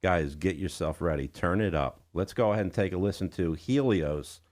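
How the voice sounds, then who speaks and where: A man's voice: sits low, moderate pace, a little mellow. A man hosting a live radio show in a chatty, folksy way, speaking directly to listeners from a studio. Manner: folksy, addresses listeners as guys. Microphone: studio broadcast microphone.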